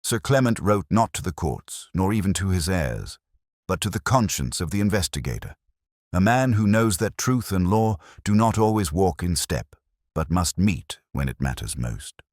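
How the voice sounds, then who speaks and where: A man voicing a calm, reflective Victorian detective of quiet principle in an audiobook story, speaking in a measured, grave way. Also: low voice, no room sound, clean studio sound. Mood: earnest, reflective.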